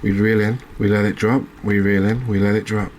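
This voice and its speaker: A man giving gentle, unhurried ASMR commentary as he plays a game, pausing and hesitating as he goes. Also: lowish voice, little pitch movement, a little hiss or hum. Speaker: host of a gaming channel. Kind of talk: ASMR let's-play commentary.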